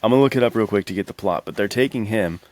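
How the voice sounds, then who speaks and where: A man's voice: on the low side, racing, darkish tone, slight background noise. A man co-hosting a podcast, chatting casually about movies in a slangy, enthusiastic way. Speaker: podcast co-host.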